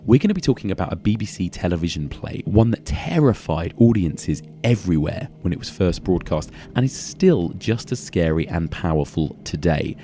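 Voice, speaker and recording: A male podcast host who is hoarse and losing his voice, talking with enthusiasm and quick affirmations. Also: deep voice, dark tone. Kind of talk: casual chat and scripted outro.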